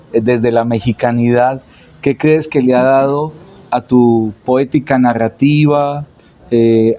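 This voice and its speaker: A man's voice: mid-range voice, mellow, highs cut off. A man asking long, elaborate interview questions.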